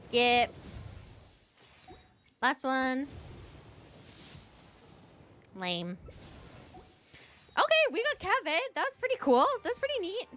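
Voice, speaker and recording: A woman's voice: high voice, wildly swooping pitch, slow, long pauses, a bit bright. A woman giving casual, chatty live commentary as she plays a video game, thinking aloud to her viewers.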